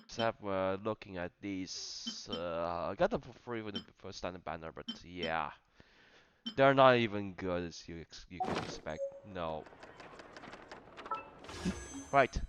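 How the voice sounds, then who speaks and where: A man giving casual gameplay commentary in a halting, rambling way, often repeating his phrases. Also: low voice, highs cut off, background noise. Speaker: gamer commenting on his own play.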